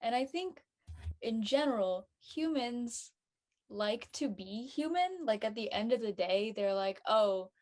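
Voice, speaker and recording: A woman's voice: on the high side, animated, measured pace, a little mellow. A woman talking casually as a panelist, reflective and a bit tentative about her opinions.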